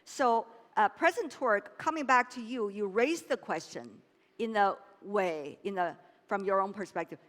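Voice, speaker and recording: A woman's voice: mid-range voice, moderate pace, long reverb tail. A woman moderating a panel who asks the guests questions and encourages them, often humming agreement. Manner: lively, encouraging, frequent affirming hums.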